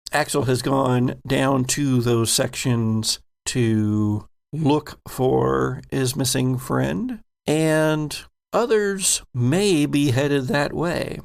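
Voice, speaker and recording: Male game master, unhurried and gravelly, descriptive horror sci-fi storytelling. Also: mid-range voice.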